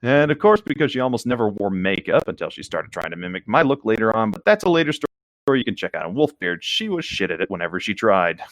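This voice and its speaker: Animated, low-voiced man, dry close sound. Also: fast talker, no room sound. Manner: informal, hesitant asides, mild swearing. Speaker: podcast co-host reading a story aloud. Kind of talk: casual reading aloud and chatty commentary.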